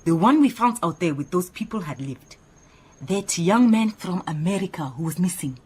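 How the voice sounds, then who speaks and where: Animated, opinionated woman assistant. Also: lowish voice, normal speed, husky. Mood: proud, eager. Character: earnest, opinionated female detective's assistant, proud and chatty. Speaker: actor in radio drama. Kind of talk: radio drama acting.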